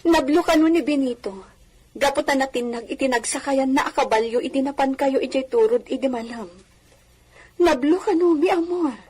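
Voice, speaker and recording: A woman's voice: high-pitched, normal speed, a little mellow, natural voice. A woman playing a genteel, soothing wife of a hacienda owner in a radio drama, speaking in a coaxing, gracious way. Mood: pleading, coaxing. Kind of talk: radio drama acting in Ilocano. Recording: faintly noisy radio drama audio.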